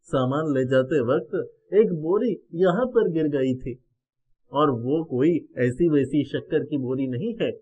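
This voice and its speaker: A man's voice: on the high side, mellow. A man voicing a burly, blunt and commanding royal cook from a magical realm in a story cartoon. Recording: dull muffled audio.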